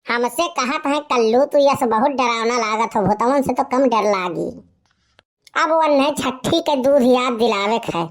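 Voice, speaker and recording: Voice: mid-range voice, measured pace, natural voice. A performer voicing a boastful young village slacker in a comic cartoon story, with a rustic eastern Uttar Pradesh Awadhi accent. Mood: defiant.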